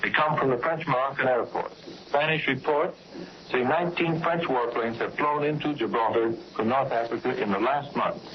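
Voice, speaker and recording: A man's voice: mid-range voice, normal speed. Muffled old radio, male war correspondent. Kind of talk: 1940s radio news report, scripted. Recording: muffled, noisy 1940s shortwave radio broadcast.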